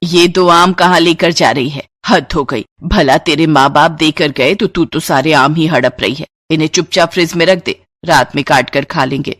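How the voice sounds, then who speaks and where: A woman playing a stingy, bitter middle-aged mother-in-law with a husky voice and a carping, sarcastic manner in a moral story. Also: low voice, moderate pace, hardly any pauses, a bit bright, no echo, studio quality. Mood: bossy.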